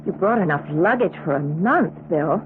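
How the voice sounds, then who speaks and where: A woman's voice: fairly low pitch, expressive, moderate pace, a little hiss or hum, close to an everyday voice. An actress playing a breezy, forthright woman doctor in an old-time radio drama, with a wry, teasing manner. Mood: concerned. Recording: muffled narrow-band old radio recording.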